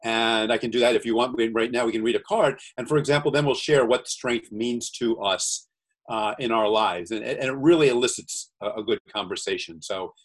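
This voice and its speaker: Husky-voiced American man on a call. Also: medium pitch. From USA. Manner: earnest, reads cards slowly and deliberately. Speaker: guest entrepreneur. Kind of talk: casual video-call chat. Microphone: video call.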